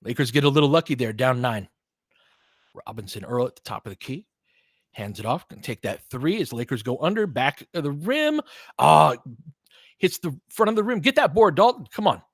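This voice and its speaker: A man's voice: mid-range voice, sing-song, normal speed, dry recording, studio quality. A man doing casual live basketball play-by-play in clipped phrases, with conversational analytical asides. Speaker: play-by-play commentator and host.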